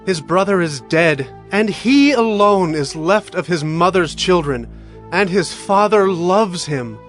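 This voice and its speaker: A man's voice: high voice, animated, measured pace, fairly bright tone, soft background music. A man voicing Judah, a humble brother earnestly pleading before a ruler, in a dramatized scripture reading.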